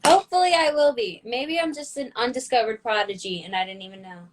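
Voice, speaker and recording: A young woman with a slightly high voice, chatting as a podcast guest. Her answers are casual, wry and self-deprecating. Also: fast talker. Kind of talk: casual interview chat.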